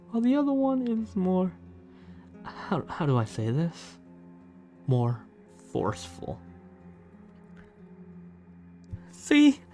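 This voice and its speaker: Man acting a slow-talking, obsessive lovestruck stalker. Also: mid-range voice, darkish tone, exaggerated voice. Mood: playful menace. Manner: slow, intimate, teasing, dropping into whispers. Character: obsessive, gentle but menacing lovestruck male stalker fan. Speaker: amateur voice actor. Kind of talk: ASMR roleplay monologue.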